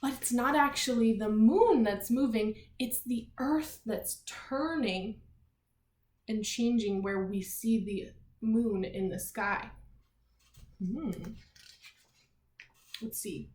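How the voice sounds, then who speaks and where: A woman's voice: on the high side, slow pace, long pauses, a little mellow. A woman teacher reading aloud to young children, explaining things simply and thinking aloud as she goes. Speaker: primary school teacher. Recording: echoey room.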